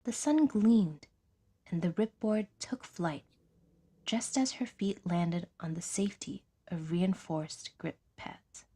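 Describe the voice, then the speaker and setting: A woman reading scripted fiction as an audiodrama narrator, with a measured, literary delivery. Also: fairly low pitch, mellow, husky.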